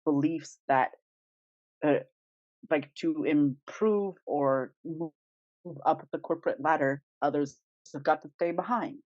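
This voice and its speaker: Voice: medium pitch, slow, a little mellow, no echo. A podcast co-host talking casually and emphatically, with strong opinions and informal phrasing. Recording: dull, lo-fi dry recording.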